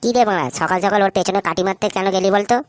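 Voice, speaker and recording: A woman's voice: mid-range voice, steady tone, rapid-fire, dry recording, clean studio sound, close to an everyday voice. A woman voicing foul-mouthed, cocky young village men who taunt and swear in a comedy cartoon. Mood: angry taunting.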